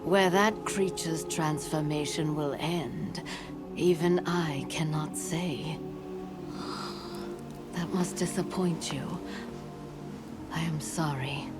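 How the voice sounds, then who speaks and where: A woman playing a solemn, all-knowing lore keeper in a video game, speaking in a measured, formal way that turns breathy at phrase ends. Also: low-pitched, fairly even intonation. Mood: calm, resigned. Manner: measured, grave, formal storytelling delivery. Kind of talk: cinematic video game dialogue. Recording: loud music under the voice. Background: loud music.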